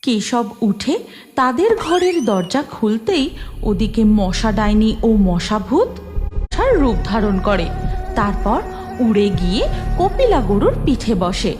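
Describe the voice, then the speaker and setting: A woman's voice: a little high, very animated, unhurried, music in the background. A woman narrating a scripted children's cartoon story.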